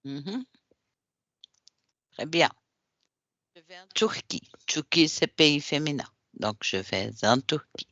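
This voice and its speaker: Slow, lively-voiced Brazilian man teaching French. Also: fairly high pitch, dry recording, highs cut off. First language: Portuguese. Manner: patient, corrective, repeats answers. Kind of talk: language class drilling questions.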